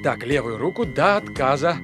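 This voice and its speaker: An actor playing an eager, flustered young golf fanatic in a radio play, hesitant and muttering to himself. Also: male voice, mid-range voice, expressive, normal speed, music in the background, close to an everyday voice. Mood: self-coaching, eager. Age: young adult. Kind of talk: Russian radio play acting.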